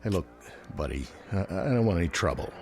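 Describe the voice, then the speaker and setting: An actor playing a wisecracking, uneasy American bartender in a radio drama, with folksy, placating chatter. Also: male voice, deep voice, big pitch swings, brisk, gravelly, a little mellow, slightly rough, exaggerated voice. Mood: nervous, placating. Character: wisecracking, uneasy big-city bartender trying to placate danger. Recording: music bed behind.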